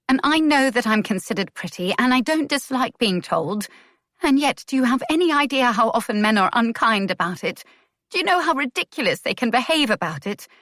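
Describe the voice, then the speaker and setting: A woman voicing a proud young society lady, speaking up higher with an indignant, pleading edge. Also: fairly bright tone, no echo, clean studio sound, lightly acted.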